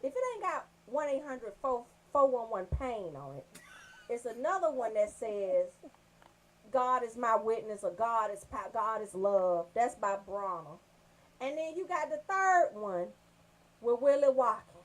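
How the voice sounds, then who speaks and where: Female radio host. High and highly animated, brash, sweary comic storytelling. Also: a little slow, rough recording.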